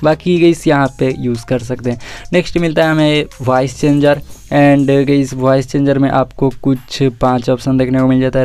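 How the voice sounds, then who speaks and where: A man's voice: mid-range voice, a little mellow, smooth. A man giving a casual phone feature walkthrough, mixing English words into informal speech. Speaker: tech reviewer.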